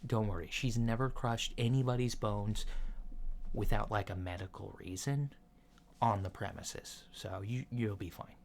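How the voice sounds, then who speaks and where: A man playing a casual, reassuring alien doctor in a soft ASMR roleplay, speaking in a mild, hesitant, matter-of-fact way. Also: on the low side, a little slow. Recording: lo-fi with clear background noise.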